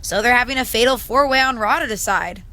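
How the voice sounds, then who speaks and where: Bright, feisty young wrestler. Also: female voice, a little high, fast talker. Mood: teasing, exasperated. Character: feisty, stubborn young female pro wrestler. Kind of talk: video game cutscene dialogue.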